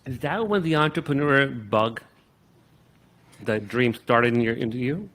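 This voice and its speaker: A man's voice: medium pitch, a little slow, fairly bright tone. A man hosting a podcast, interviewing his guest in a casual, admiring, conversational way. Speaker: podcast host.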